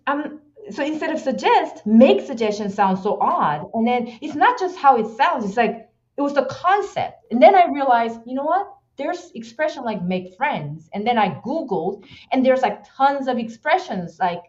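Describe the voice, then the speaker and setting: A woman's voice: a little high, darkish tone, lo-fi sound. A woman with a Korean accent, a guest talking passionately in an interview, often restarting her sentences. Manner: emphatic, passionate, frequent restarts. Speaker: podcast guest. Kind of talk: casual interview talk.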